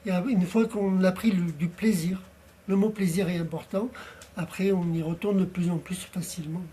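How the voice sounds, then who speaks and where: A man's voice: high voice, fairly even intonation, normal speed, dark tone, slight background noise. A man in his fifties, a guest in an interview, speaking in a plain-spoken, practical way and explaining things step by step. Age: 50s. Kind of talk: casual interview talk.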